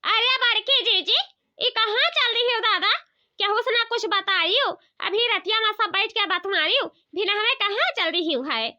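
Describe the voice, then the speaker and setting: An extremely high, piercingly bright cartoon village woman, nosy and sly. She questions and exclaims like a gossip in an Awadhi accent, on dull lo-fi audio. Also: moderate pace, exaggerated voice. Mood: nosy, insistent. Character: nosy, sly, squeaky village women neighbours. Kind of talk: comedy cartoon dialogue.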